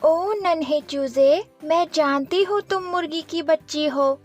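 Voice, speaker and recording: A woman voicing a kindly, proud peahen in a children's animated story, explaining things reassuringly. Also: sits high, measured pace, faint music behind, voice pushed up. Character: kindly, proud, long-necked adult peahen.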